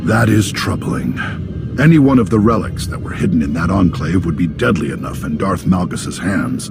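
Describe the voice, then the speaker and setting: A low, gravelly Jedi master leads with grave authority. His speech is measured and formal, as in a sci-fi game cutscene, with music clearly behind it. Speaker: Jedi master leading a meeting. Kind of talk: video game dramatic acting.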